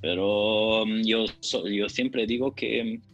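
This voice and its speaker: A man with a Portuguese accent, a native Portuguese speaker, talks casually and gropes for words, often repeating himself. His voice is forceful and bright, on a dull lo-fi recording with music behind it. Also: fairly low pitch, fairly even intonation. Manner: halting, searches for words, repeats phrases. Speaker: podcast guest. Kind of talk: casual conversation.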